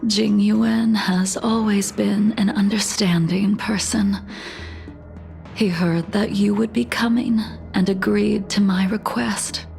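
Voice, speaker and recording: A woman voicing a calm, world-weary swordswoman in a video game, speaking in a measured, solemn, breathy way. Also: medium pitch, faint music behind. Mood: composed.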